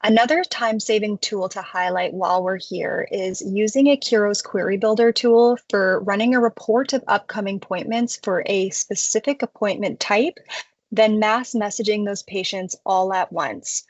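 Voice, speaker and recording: Female software tutorial narrator, medium pitch, conversational explainer delivery, dry close-mic sound. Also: moderate pace, no room sound, muffled recording. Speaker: software demo presenter. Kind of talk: scripted product tutorial narration.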